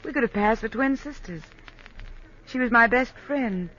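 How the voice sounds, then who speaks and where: An actress playing a cool, guarded nightclub singer on the run in a 1950s radio drama, evasive and clipped. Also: female voice, a little high, moderate pace, fairly bright tone. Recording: dull vintage radio recording.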